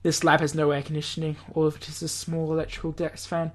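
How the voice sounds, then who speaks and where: A man's voice: fairly high pitch, monotone, quick pace. A man reading a visual novel aloud as a let's play host, stumbling now and then over words and names. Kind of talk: read-aloud narration with casual commentary.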